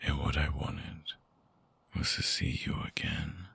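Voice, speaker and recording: Man playing a very deep, gravelly lovesick vampire. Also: fairly even intonation, fairly bright tone, exaggerated voice. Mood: hushed, earnest. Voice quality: gravelly, husky, breathy, often dropping to a whisper. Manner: halting, tender, intensely earnest. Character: lovesick male vampire returning to his lost lover. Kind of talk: intimate ASMR roleplay acting. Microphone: close binaural microphone.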